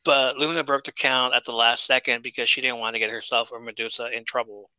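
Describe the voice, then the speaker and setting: A man's voice: mid-range voice, little pitch movement, fast talker, pushed and bright, smooth, no room sound, low-quality recording. A man co-hosting a casual wrestling podcast, chatty and joking as he reads through his notes. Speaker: podcast co-host. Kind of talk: casual wrestling review chat.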